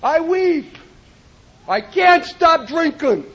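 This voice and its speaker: High-voiced impassioned man on old tape. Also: expressive, very slow, dull audio. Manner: impassioned, often shouting with emphasis. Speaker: recovery speaker. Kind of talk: personal testimony at a recovery meeting.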